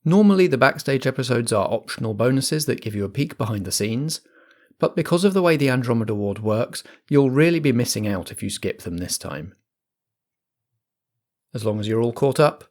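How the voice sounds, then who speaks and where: A man hosting a role-play podcast as compere, with a measured, theatrical scripted delivery. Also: medium pitch, big pitch swings. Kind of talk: scripted narration and introduction.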